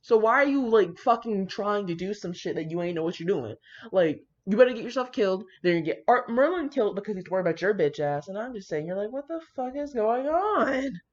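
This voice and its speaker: A woman's voice: mid-range voice, animated, brisk. A woman reading and reacting to fan fiction in a casual, brash, slangy and dramatic way. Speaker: video creator reading fan fiction aloud with commentary. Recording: dull lo-fi audio.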